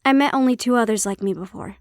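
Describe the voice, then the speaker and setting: A woman's voice: fairly high pitch, fast talker, no room sound, clean studio sound, natural voice. A woman playing a prickly, guarded banshee-siren hybrid in an intimate audio roleplay, explaining herself conversationally with some teasing. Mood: candid, wry.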